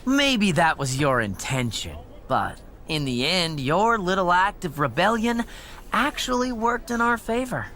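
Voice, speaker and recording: A man's voice: high voice, sing-song, a little slow, bright, forceful tone, slight background noise, full character voice. A man playing a smug, mocking corporate schemer in a wrestling video game cutscene. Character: smug, scheming male wrestling manager's henchman.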